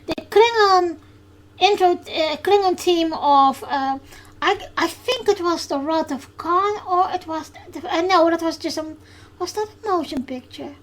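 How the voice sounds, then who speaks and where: Dutch woman hosting her podcast, slow and kinda halting, lots of pauses. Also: very high voice, poor audio. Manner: hesitant, halting, with frequent pauses. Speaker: podcast host. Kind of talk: solo episode recap.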